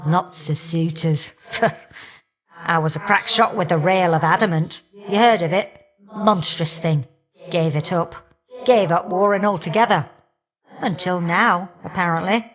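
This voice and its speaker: A woman's voice: fairly low pitch, unhurried, natural voice. Woman doing a fiery older goddess for a game, cheeky and folksy, teases you fondly. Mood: wistful, teasing, then wry, grumbling. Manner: folksy, teasing, affectionate endearments and colloquial turns of phrase. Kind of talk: video game character voice acting.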